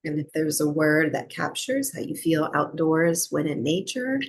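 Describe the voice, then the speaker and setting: Slow, low-voiced woman guiding meditation. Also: expressive, husky. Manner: unhurried, with long pauses between short phrases. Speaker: breathwork and yoga nidra teacher. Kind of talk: guided meditation, slow soothing instruction.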